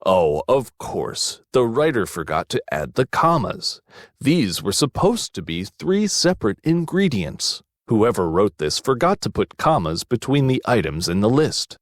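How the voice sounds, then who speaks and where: A man's voice: on the low side, big pitch swings, measured pace, no room sound, clean studio sound. A man voicing a grumbling, exasperated bearded wizard in a children's storybook, muttering his complaints.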